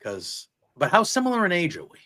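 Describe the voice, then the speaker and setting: A man's voice: sits high, huge pitch swings, brisk, sharp tone. A man in his forties in casual chat, rambling and laughing, swearing freely. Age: 40s.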